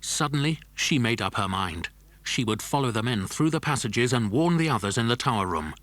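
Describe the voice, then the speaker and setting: A man's voice: medium pitch, lively intonation, fairly quick, fairly bright tone, dry recording. A man narrating a scripted children's adventure story. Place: dry studio.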